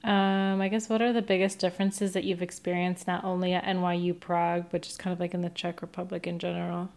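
A woman hosting and narrating a student interview segment, reading scripted introductions in a measured way and asking questions. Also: on the low side, level tone, a little mellow. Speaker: student radio host and interviewer.

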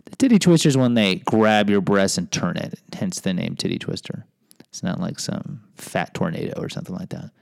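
A man's voice: low-pitched, moderate pace, vocal fry, no echo, clean studio sound. A middle-aged American man hosting a podcast, reading a personal memoir with rambling, self-deprecating asides. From United States. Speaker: podcast host and teacher.

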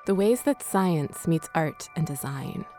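A woman's voice: deep voice, expressive, unhurried, warm tone, soft background music. A woman hosting a radio documentary, reading scripted narration. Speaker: host.

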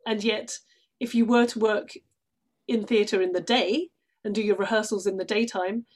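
A woman's voice: medium pitch, steady tone, moderate pace, a little mellow. A middle-aged woman from London with a British accent, talking effusively and emphatically as an interview guest. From London, England. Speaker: guest, stage actress. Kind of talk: conversational interview.

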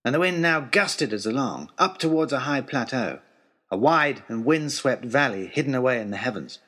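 A man playing an earnest, eloquent gentleman adventurer, narrating his letters home as a wonderstruck, literary storyteller in an audio drama. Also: mid-range voice, expressive, moderate pace, breathy edge. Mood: awed.

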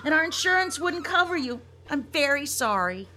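A woman plays a high, very animated robot rabbit worker in a comic radio play. The manner is breezy and officious, full of false reassurance, over faint background noise. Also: moderate pace, full character voice. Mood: evasive, coaxing.

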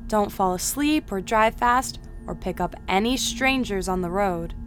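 A woman's voice: medium pitch, measured pace, over music, natural voice. A woman playing an anxious, doting mother of a grown son in a radio drama, fretful and pleading. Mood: worried, pleading.

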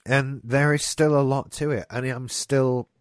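A man's voice: medium pitch, fairly even intonation, measured pace, no room sound, studio quality. A man hosting a casual gaming news podcast, rambling and swearing lightly, a bit stuffed up. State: getting a stuffy nose. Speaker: podcast host. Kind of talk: casual chat.

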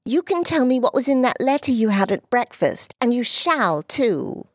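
A woman voicing an earnest, jealous little girl in an audiobook, high and eager. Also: big pitch swings, moderate pace, no room sound, studio quality. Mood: insistent. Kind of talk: audiobook character voice.

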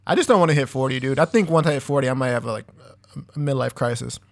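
A man's voice: mid-range voice, fast, creaky. A man in his thirties co-hosting a podcast, laid-back and profane, saying "dude" often. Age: 30s. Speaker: podcast co-host. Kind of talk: crude casual banter.

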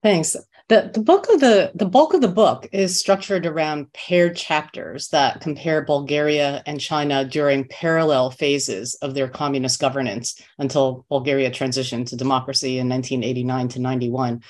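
A man hosting an interview, asking prepared questions in a measured, formal, bookish way. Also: mid-range voice, fairly even intonation. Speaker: podcast interviewer.

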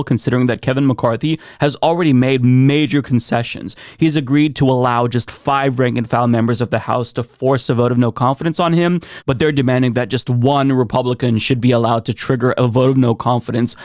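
A man's voice: medium pitch, no echo. A man hosting an opinionated political commentary show, talking in a sarcastic, emphatic way into a close mic.

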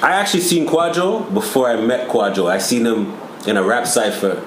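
A man's voice: mid-range voice, sing-song, moderate pace. A man from Toronto, a guest rapper, telling his story in a laid-back, slangy way with lots of fillers. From Toronto, Canada. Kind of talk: casual interview chat. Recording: roomy with faint background noise.

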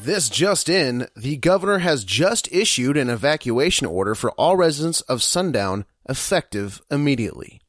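A serious news anchor, dry sound. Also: male voice, mid-range voice, sing-song, no echo, faint music behind. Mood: grave, measured. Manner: measured, formal announcing. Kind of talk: news bulletin acted for audio drama.

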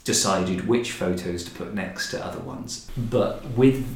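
A man's voice: deep voice, a little slow, vocal fry, a little hiss or hum. A man in a conversational podcast discussion, talking in a reflective, explanatory way. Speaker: podcast guest or host discussing a production. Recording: roomy, echoey sound.

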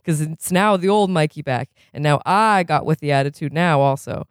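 A reader putting on a profane, rambling man in recovery who is quick to rage and keeps asking if you know what he's saying. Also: mid-range voice, clear, resonant tone, no echo, studio quality, close to an everyday voice. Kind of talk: reading a novel aloud, putting on a character.

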